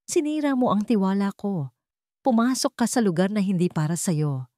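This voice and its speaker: A woman with a Filipino accent voicing a menacing, controlling male villain in an audiobook, calm, cold and threatening. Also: medium pitch, moderate pace, no room sound, clean studio sound, lightly acted. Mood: angry, menacing. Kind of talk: audiobook character acting.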